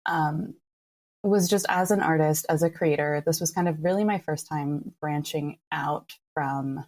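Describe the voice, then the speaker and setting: A woman talking casually and reflectively about creative work, with an earnest, expressive and playful manner. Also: low voice, unhurried. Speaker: podcast co-creator, writer and voice actor.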